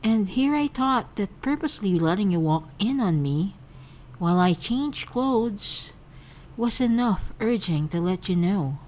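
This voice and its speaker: A woman voicing a composed, tender and teasing young woman in love, measured and gently coaxing, in a fan fiction reading. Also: mid-range voice, lively intonation, pitched above the performer's own voice, close to an everyday voice.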